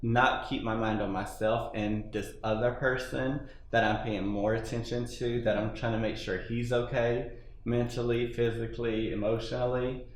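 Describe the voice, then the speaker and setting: A man's voice: medium pitch, little pitch movement, moderate pace. A man in his thirties answering interview questions in a chatty, rambling way, often saying "you know". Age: 30s. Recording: echoey room with faint noise.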